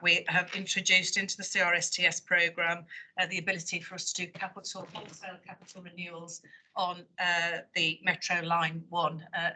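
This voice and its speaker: A woman's voice: fairly low pitch, bright, forceful tone, rough recording. A woman transport officer answering councillors at a formal committee meeting, hesitant and full of fillers.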